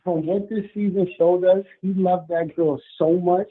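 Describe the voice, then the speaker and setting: Loud excited guy calling in, tinny phone line breaking up, voice kinda high. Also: measured pace. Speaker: caller. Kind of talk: phoning in to a show.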